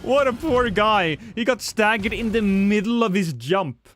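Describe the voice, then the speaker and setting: A man's voice: high-pitched, lively intonation, a little slow, a bit bright. Norwegian man with British-tinged accent. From Norway. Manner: chatty, self-deprecating, many restarts. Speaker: streamer. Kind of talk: casual gameplay livestream chat.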